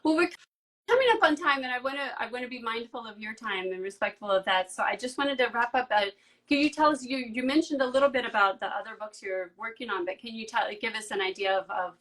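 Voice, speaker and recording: A woman's voice: on the high side, fast talker, a bit bright, a little hiss or hum. A woman with a mid-range voice hosts a casual live interview. She speaks politely and feels her way tentatively into her phrasing. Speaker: interviewer and host.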